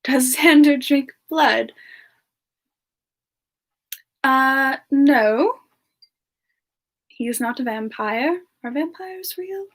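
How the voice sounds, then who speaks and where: A woman playing a sweet, chatty young female demon, talking gently and hesitantly in a casual semi-ASMR live chat. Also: high-pitched. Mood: gentle, friendly. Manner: gentle, hesitant, pausing often mid-sentence. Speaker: host of a live Q&A stream.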